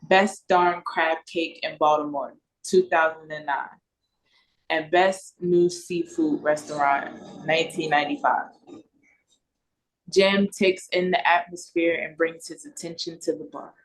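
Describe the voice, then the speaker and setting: A woman's voice: low-pitched, fairly even intonation, measured pace, poor audio. A woman with an American accent reading stage directions as narrator at an audio play read-through. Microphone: video call.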